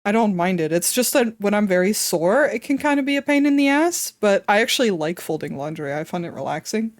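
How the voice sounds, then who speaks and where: A woman's voice: medium pitch, expressive, fairly quick, no echo, clean studio sound. A woman streamer chatting casually with her viewers, slightly congested and wheezy, swearing casually and laughing often. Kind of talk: casual live-stream chat.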